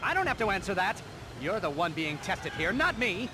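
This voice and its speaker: A man's voice: sits high, very animated, normal speed, noisy background. An actor playing a vain, bitter, theatrical male villain in a video game, with grandiose announcer-like delivery. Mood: smug, defensive.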